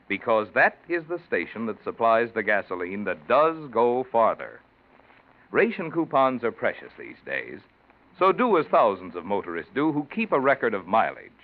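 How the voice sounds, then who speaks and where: Male American announcer, 1940s radio ad read, hugely varied intonation, emphatic, muffled vintage sound. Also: mid-range voice. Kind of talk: 1940s radio advertising pitch.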